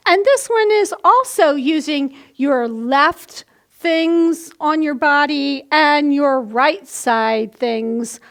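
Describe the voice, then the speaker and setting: Slow, high-voiced woman teaching young children. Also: lively intonation. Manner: slow, explanatory, demonstrating actions as she speaks. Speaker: children's library storytime host. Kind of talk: simple step-by-step instruction for young children.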